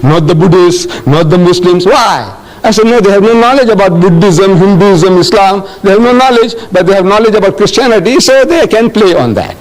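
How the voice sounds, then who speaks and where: An older man from South Africa answering audience questions in a lecture, animated and telling stories with acted-out dialogue. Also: high-pitched, low-quality recording.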